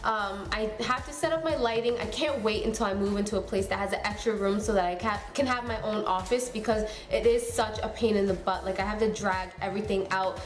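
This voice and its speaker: A woman's voice: mid-range voice, brisk, hardly any pauses, soft background music. Young woman, beauty vlogger, casual chat, lots of fillers, echoey room. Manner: chatty, many fillers like "um" and "like". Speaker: beauty YouTuber. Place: room at home.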